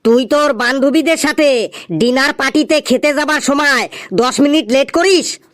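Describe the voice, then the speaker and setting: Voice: high-pitched, normal speed, a bit bright, no room sound, studio quality. A performer voicing a stern, scolding schoolteacher in a comedy cartoon, bossy and full of questions. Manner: bossy, interrogating.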